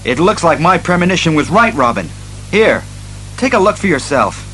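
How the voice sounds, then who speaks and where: Crime-fighting hero, highish voice, lively intonation, commanding, analytical, audio drama, faint music. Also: male voice, poor audio. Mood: grave. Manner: earnest, deliberate, analytical. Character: serious, commanding male masked detective hero.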